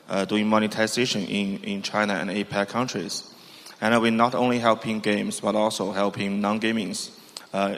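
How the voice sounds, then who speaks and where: A man talks as a panelist in halting non-native English, stopping often on fillers. His voice is low and monotone. The sound carries the long reverb of a conference hall. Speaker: panelist, company CEO.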